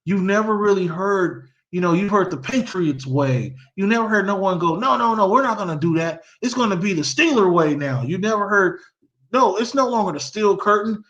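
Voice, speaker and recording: A middle-aged man hosting a casual sports talk, his voice sitting high for a man. He tells long stories in an animated, storytelling way and quizzes his guest. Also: moderate pace, dull audio. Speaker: podcast host.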